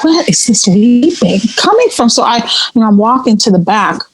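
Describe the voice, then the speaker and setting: A woman's voice: on the high side, big pitch swings, moderate pace, a little hiss or hum. Spooked God-fearing churchgoing woman. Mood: shaken, haunted. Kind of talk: audio play acting, conversational storytelling.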